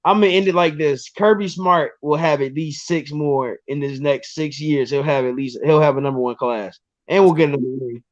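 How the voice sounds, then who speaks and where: A man in his twenties, a guest on a sports podcast, talking casually and excitedly with lots of restarts and ums. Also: a little high, normal speed, hardly any pauses, dry recording, muffled recording. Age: 20s.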